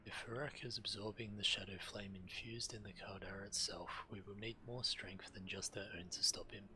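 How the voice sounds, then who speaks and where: Low-voiced, grave fantasy spellcaster. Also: male voice, steady tone, bright, forceful tone, a little hiss or hum, low-quality recording. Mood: grave, calculating. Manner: measured, deliberate, serious. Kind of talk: fantasy video game acting. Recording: game music behind.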